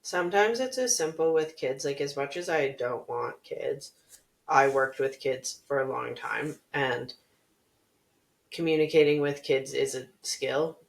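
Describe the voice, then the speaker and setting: A man co-hosting a podcast, speaking in a calm, measured, practical way. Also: mid-range voice, vocal fry. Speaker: podcast co-host. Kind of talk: candid podcast discussion.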